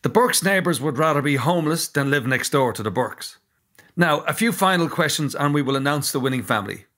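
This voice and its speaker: A man playing a showy television contest presenter in a radio comedy, announcing in measured fashion. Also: on the high side, lively intonation, a bit bright. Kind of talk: comedy radio drama acting.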